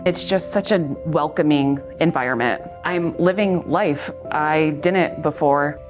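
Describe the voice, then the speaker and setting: A woman speaking sincerely and hopefully in a testimonial interview. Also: deep voice. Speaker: YMCA member. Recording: music bed under voice.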